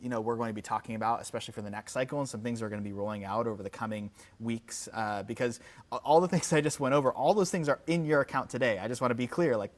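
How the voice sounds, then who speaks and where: Male livestream host, mid pitch, informal product presenter, unscripted and conversational with repeated words. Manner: conversational, off-the-cuff, hesitant repetitions.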